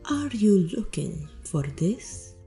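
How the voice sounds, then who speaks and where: A woman's voice: medium pitch, sing-song, slow, warm tone, soft background music. A woman voicing a wary, cool ship's maid in an amateur radio play.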